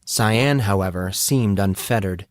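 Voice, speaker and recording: A man giving scripted audiobook narration in a measured, expressive storytelling manner. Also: on the low side, no echo, clean studio sound.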